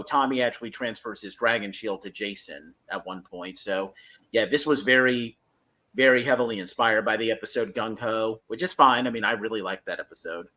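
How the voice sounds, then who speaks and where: A man's voice: mid-range voice, fairly bright tone, low-quality recording. A man hosting a podcast, giving casual review commentary and recapping step by step in a hesitant way. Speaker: podcast host.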